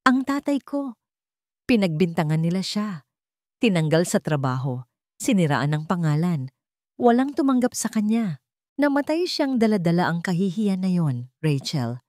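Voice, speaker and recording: A woman with a Filipino accent voicing a calm, serious man with hidden bitterness in an audio drama. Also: fairly low pitch, moderate pace, long pauses, no echo, clean studio sound. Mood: bitter, grave.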